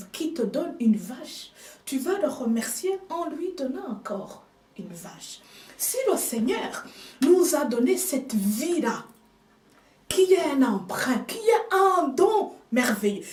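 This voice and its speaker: A woman whose first language is not French, preaching a sermon with slow, emphatic delivery and hushed asides. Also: high voice, far from the mic. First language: another language than French. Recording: echoey room, speaker off mic.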